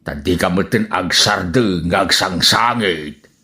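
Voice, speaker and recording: A man's voice: low-pitched, slow pace, pushed and bright, exaggerated voice. A man playing a jealous, whiny husband in an Ilocano radio comedy drama, with an Ilocano accent. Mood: sulky.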